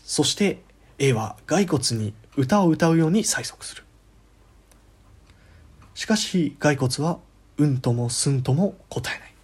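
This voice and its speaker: A man narrating a scripted ghost story in a theatrical way, at times dropping to a hush. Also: a little high, expressive, takes it slowly, pauses often, husky.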